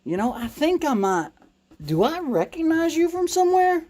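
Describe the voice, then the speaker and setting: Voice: high-pitched, sing-song, normal speed, a little mellow. Someone playing a chatty, friendly, boastful massage therapist in a comedy skit, making casual small talk. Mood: friendly, curious.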